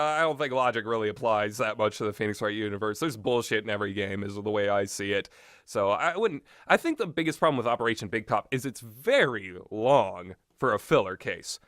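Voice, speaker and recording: A man with an average-pitched voice talks casually and without a script, as a game reviewer would. He is opinionated and a little sweary, and he often hesitates and circles back on his own sentences. The sound is close and dry, with little room echo. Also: fairly bright tone. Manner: hesitant, backtracking, opinionated, mildly profane. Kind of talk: casual unscripted rambling commentary.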